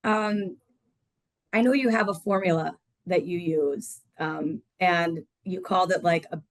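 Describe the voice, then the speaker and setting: A woman's voice: lowish voice, a little slow, no room sound. A woman hosting an interview in a conversational style, putting questions to a guest. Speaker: host interviewer.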